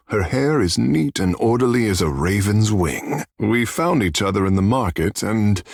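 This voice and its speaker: Vain godlike fish-man, fantasy game hero, swooping expressive pitch, husky, seductive and boastful storyteller, close dry recording. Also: no echo, heavily acted. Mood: wistful storytelling. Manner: theatrical, boastful, languid storyteller. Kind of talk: fantasy video game character dialogue.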